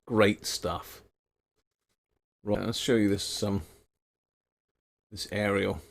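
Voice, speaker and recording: Male hobby host, low and gravelly, self-mocking and chatty, drifts off mic. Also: fairly even intonation, slow. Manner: chatty, self-mocking, laughs at own mistakes. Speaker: radio hobby livestream host. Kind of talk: casual live-stream chat.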